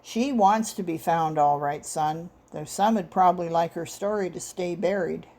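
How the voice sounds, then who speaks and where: A woman's voice: fairly low pitch, moderate pace, a little mellow. A woman voicing a genial, seasoned old Southern man who tells tales in a folksy, cadenced way.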